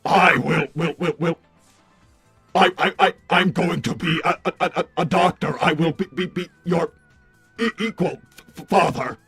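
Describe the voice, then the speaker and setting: A man's voice: fairly high pitch, a little slow, vocal fry, fairly bright tone, slightly rough, soft background music, heavily acted. A man voicing an earnest, forlorn robot son with stiff formal phrasing and a tendency to stammer, in a melodramatic cartoon roleplay. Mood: flustered, wounded. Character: earnest, forlorn robot son seeking his father's respect.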